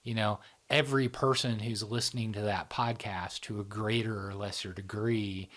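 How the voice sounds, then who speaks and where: A man with a fairly low voice, hosting a podcast in a relaxed, thinking-aloud way. He often trails off and stretches his words. His frequent checks with his listener keep the exchange loose and casual. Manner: conversational, drawn-out, frequent "you know" and "right?". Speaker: podcast host. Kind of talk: casual discussion.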